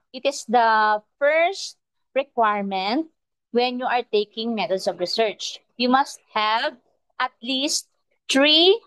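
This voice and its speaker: A Filipino-accented woman, a native Tagalog speaker, teaching a research methods lesson in a deliberate lecture style, often pausing with "uh" and checking in with "okay?". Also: medium pitch, fairly bright tone, clean tone, no room sound, rough recording. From Philippines. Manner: slow, deliberate, frequent "okay?" check-ins and "uh" pauses. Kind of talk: recorded lecture to students.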